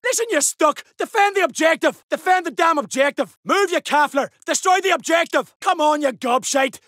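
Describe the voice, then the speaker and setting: A man voicing a gruff, battle-hardened soldier in a video game, barking urgent, blunt combat lines. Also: very high-pitched, no echo, studio quality. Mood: commanding. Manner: urgent, forceful, blunt swearing.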